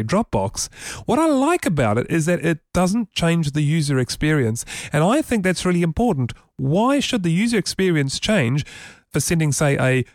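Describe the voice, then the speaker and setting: A man's voice: fairly high pitch, no echo. A man hosting a casual tech show from a studio, explaining features enthusiastically with many fillers. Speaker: podcast host. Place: radio studio.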